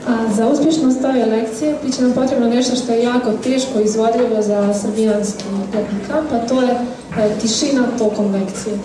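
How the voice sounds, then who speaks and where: A woman's voice: a little high, steady tone, fairly quick, noisy background. A woman interpreting a lecture sentence by sentence, relaying it plainly with small hesitations. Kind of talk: consecutive interpreting. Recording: echoey room with loud ambience.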